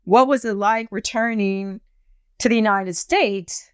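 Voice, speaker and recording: A woman's voice: medium pitch, unhurried, clear, resonant tone, no room sound. Middle-aged woman host, encouraging, lots of mm-hmms, dry close sound. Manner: encouraging, affirming murmurs. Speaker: podcast host. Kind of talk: interview host.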